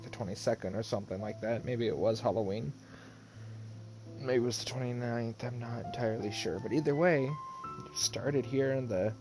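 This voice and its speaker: A male streamer with a medium-pitched voice commenting casually on a game. He is chatty and muses aloud, with faint game music behind him. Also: darkish tone. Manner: chatty, musing. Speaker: live streamer playing a video game.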